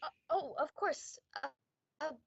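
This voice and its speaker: A woman playing a fussy, well-meaning married lady in an amateur radio play, halting and solicitous. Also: high voice, creaky, no echo, highs cut off, full character voice. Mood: concerned, polite. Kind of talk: amateur high school radio play acting.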